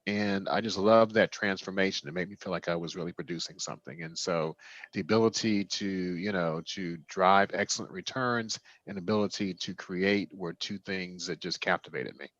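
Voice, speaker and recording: A man in his sixties speaks in a low register, as an interview guest talking through his own experience. He explains things conversationally and in a personal, discursive way. The audio is dull and somewhat lo-fi. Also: moderate pace, a bit bright, dry recording. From Washington, D.C. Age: 60s. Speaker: interview guest, real estate developer.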